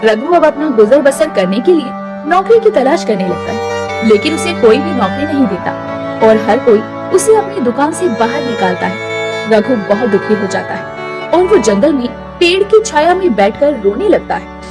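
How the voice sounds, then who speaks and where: A woman's voice: high-pitched, big pitch swings, moderate pace, music in the background. A woman narrating a scripted cartoon story as the storyteller.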